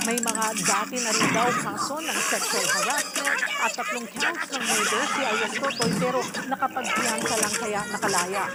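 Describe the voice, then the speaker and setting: A Filipino woman delivers a live news report. Her voice sits a little high, and loud music runs behind it throughout.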